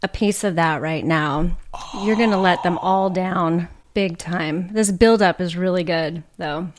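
A woman with a nasal voice, a mother and podcast guest, making dry, self-deprecating jokes while mildly high. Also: measured pace, slight background noise. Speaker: guest, mother and business owner. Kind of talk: casual chat.

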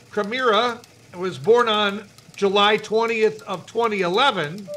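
A man's voice: sits high, fairly bright tone, slight background noise. A middle-aged American man telling wry, rambling anecdotes and reading light news in a casual co-host style. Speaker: podcast co-host.

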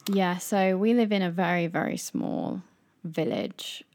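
A woman's voice: on the low side, big pitch swings, measured pace, gravelly, a little mellow, slight background noise. A young British woman in casual chat as a podcast co-host, chatty and playful, laughing often.

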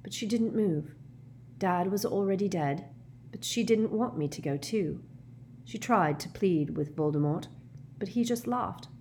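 A woman voicing a quiet, determined teenage boy wizard in a storybook, steady and insistent. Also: on the low side, measured pace, a little hiss or hum, natural voice. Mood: remorseless.

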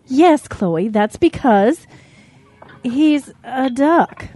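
A woman's voice: high-pitched, lively intonation, very slow, warm tone, dry recording, heavily acted. A woman voicing a doting, defensive mother cow in an animal audio drama, speaking earnestly.

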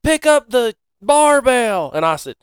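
A man's voice: extremely high pitch, sing-song, unhurried, clean tone, dry recording, studio quality. A man with a Southern US accent telling stories in casual podcast chat, in a folksy, joking way.

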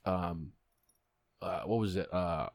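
A man's voice: low voice, slow pace, creaky, no room sound, studio quality. A man hosting a podcast, telling rambling stories in casual chat. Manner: rambling storyteller, laughs often. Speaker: podcast host. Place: podcast studio. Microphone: studio microphone.

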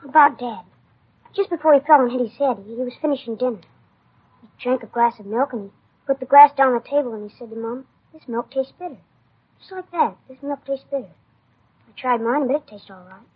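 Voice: high-pitched, a little fast, breathy edge. A performer playing a worried, earnest young boy in a 1950s American radio drama, hesitant and confiding. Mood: troubled. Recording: muffled old radio recording.

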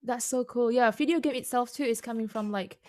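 A woman whose first language is Indonesian, a composer guest speaking English in a casual interview, halting with many fillers and restarts. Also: fairly high pitch, a little mellow, no echo, clean studio sound.

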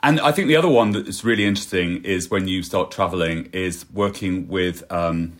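A man's voice: sits low, a little fast, fairly bright tone. A man hosting a conversational interview, reflective and fond of tag questions. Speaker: podcast host.

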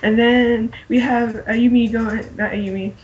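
A woman co-hosting a podcast, chatting casually and slangily in an animated fan style. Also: a little high, measured pace, highs cut off. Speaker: podcast co-host. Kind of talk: casual group chat about pop fandom.

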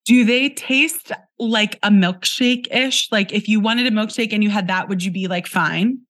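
A woman's voice: medium pitch, moderate pace, a bit bright. An American woman chatting casually as a podcast guest, playful and emphatic, with short blunt declarations. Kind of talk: casual chat.

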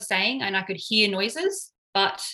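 A woman's voice: mid-range voice, expressive, slow, sharp tone. A woman in her thirties, a paramedic, recounting her story in an interview with frequent hesitations and fillers.

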